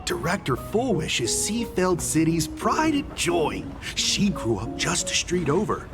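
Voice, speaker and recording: An actress playing a chatty, gossipy street vendor in a video game. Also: female voice, fairly low pitch, very animated, measured pace, vocal fry, exaggerated voice. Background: game music.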